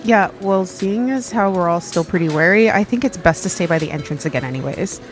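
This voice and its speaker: A woman playing a cautious, diplomatic young friend in an audio drama, with a casual, measured way of reasoning. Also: lowish voice, expressive, faint music behind, natural voice. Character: level-headed, cautious, diplomatic member of a young gang.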